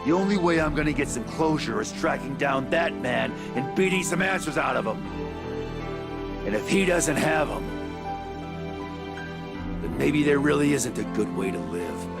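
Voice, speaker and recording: Gruff male game hero: gravelly, cynical, blunt and slangy, music behind. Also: a little high, animated, moderate pace, long pauses. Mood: brooding. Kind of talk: English video game voice acting.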